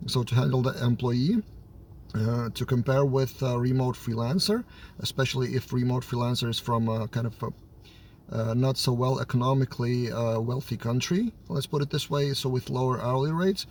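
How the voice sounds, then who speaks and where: A man's voice: medium pitch, fairly even intonation, moderate pace, darkish tone, slight background noise. Lithuanian-accented man, slightly cold-stuffed voice. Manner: thinks aloud, hesitant, many filler sounds. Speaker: software developer and team lead. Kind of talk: casual to-camera talk.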